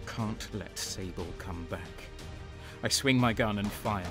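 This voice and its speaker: An actor playing a grim, battle-worn traitor soldier, narrating in terse, intense first person for an audio drama. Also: male voice, lowish voice, a little slow, over music, lightly acted. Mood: grim resolve, then pained, tense.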